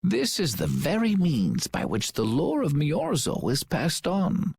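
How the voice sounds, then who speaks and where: Gravelly, unhurried old village elder. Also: male voice, on the high side, wildly swooping pitch, darkish tone, full character voice. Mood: calm, courteous. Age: older. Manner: unhurried, formal, courteous. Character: slow, mild, wise old village elder man. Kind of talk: video game voice acting.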